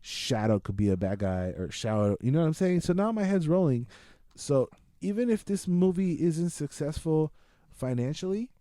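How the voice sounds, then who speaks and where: Man, podcast host, lively swooping pitch, mellow tone, dry close sound, sweary slangy casual chat. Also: moderate pace, no echo. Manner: profane, excitable, slangy. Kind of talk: casual movie review chat.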